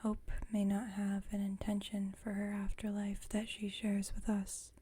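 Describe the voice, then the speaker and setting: Woman acting a slow, whispering vampire roommate. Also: medium pitch, completely flat delivery. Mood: explaining. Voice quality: breathy soft whisper. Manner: unhurried, conversational, lightly wry. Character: chatty vampire roommate woman, gentle, wryly exasperated. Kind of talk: ASMR roleplay, intimate one-sided chat.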